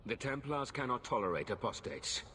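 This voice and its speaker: A man's voice: mid-range voice, sing-song, moderate pace, pushed and bright, in a large hall, a little hiss or hum. An actor playing a stern, courteous male knight templar who speaks formally, in a game cutscene. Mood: grave. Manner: formal, grave.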